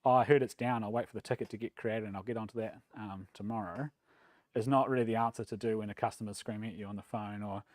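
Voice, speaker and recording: A man from New Zealand with a New Zealand accent, giving a relaxed, self-deprecating talk at a developer meetup, with plenty of ums and uhs. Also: fairly low pitch, quick pace, no echo. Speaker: conference speaker. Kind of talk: casual tech meetup talk. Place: meetup room.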